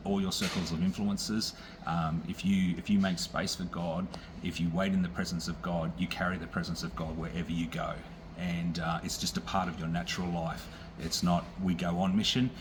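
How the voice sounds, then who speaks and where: A man with an Australian accent speaking unscripted as a visiting pastor, in a halting, plain, reassuring way to a church. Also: low-pitched, slight background noise. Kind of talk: unscripted encouragement to a church congregation.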